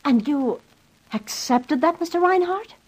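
A woman's voice: high voice, expressive, unhurried, a little mellow, poor audio. An actress playing an anxious, well-spoken lady in a radio crime drama, polite but urgent, on an old radio recording. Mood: uneasy.

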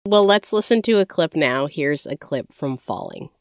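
A woman's voice: sits low, expressive, normal speed, slight background noise. A woman hosting a podcast in a scripted radio presenting style. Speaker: podcast host.

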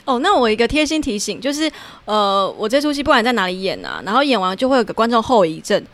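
High, animated Taiwanese woman chatting quickly. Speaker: podcast guest, theatre performer. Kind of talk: casual chat.

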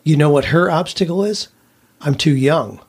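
A man's voice: on the high side, normal speed, slightly rough. An older man, past his mid-sixties, telling stories conversationally as a podcast host and career coach. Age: older, past sixty-four. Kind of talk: casual conversational storytelling.

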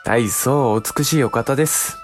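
A man's voice: medium pitch, measured pace, soft background music, close to an everyday voice. A man playing a frank, teasing young samurai, a younger brother, in a Japanese period audio drama with archaic speech.

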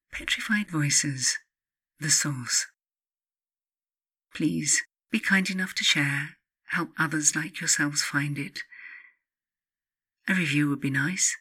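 A woman narrating a spiritual self-help book as its author, in a solemn, deliberate, incantatory way. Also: deep voice, sharp tone, breathy edge. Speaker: author and podcast host reading their own book.

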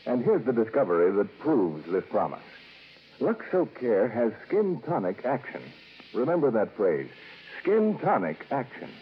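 A man's voice: mid-range voice, a little hiss or hum. A man announcing on 1950s radio in a polished, emphatic scripted sales style. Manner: emphatic, deliberate repetition, polished. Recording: dull old radio broadcast.

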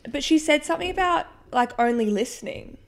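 A woman, co-hosting a casual chat podcast, loud and self-mocking, with a deeper voice than her co-host. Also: expressive, fairly quick, vocal fry.

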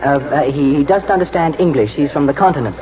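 A man playing an earnest, protective young horse trainer in a 1940s radio drama, urgent and halting as he instructs. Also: fairly high pitch, faint music behind, close to an everyday voice. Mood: flustered. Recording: muffled, noisy old 1940s radio broadcast.

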